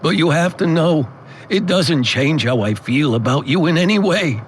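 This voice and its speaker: A man playing a loving, gentle older father in an audio drama, speaking tenderly and earnestly. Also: a little high, lively intonation, moderate pace, slight background noise, close to an everyday voice. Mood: regretful. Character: loving, gentle widowed father nearing old age.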